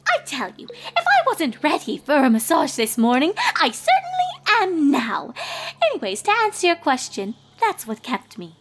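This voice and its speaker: A prim and theatrical young lady unicorn from a cartoon story, acted by a woman reader. The high voice swings widely in pitch as it gossips in wordy, indignant flourishes. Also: huge pitch swings, normal speed, exaggerated voice. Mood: melodramatic. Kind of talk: cartoon fan-fiction character reading.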